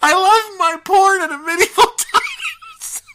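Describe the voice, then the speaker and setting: A man chatting casually as a podcast host, ranting in an animated, profane way. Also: extremely high pitch, takes it slowly. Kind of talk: casual chat about gaming news.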